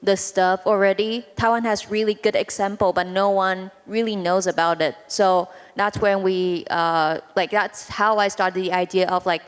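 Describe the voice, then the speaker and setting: A woman's voice: medium pitch, clean tone. A woman speaking as a panelist and event organizer, chatty with many fillers. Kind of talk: casual panel talk. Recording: long reverb of a large hall.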